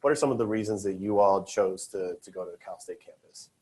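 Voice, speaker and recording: A man's voice: sits low, a little fast, darkish tone, slightly rough. A man moderating a student panel at a conference session, earnest and loose with fillers and restarts.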